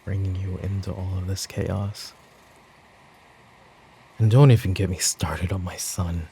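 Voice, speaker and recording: A man playing a tired, guilt-ridden mafia boss and worried father in a roleplay ASMR audio drama, brooding, with sighs and pauses. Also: low voice, fairly even intonation, mellow, slight background noise. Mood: brooding, confiding.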